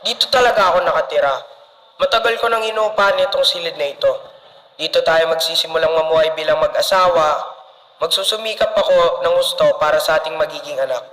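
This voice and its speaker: Boy acting devoted young Filipino husband, highish voice. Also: fast talker, a bit bright, close to an everyday voice, low-quality recording. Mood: romantic, earnest. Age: teenager. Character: devoted, hardworking, romantic young husband and father. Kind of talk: student radio drama acting in Filipino.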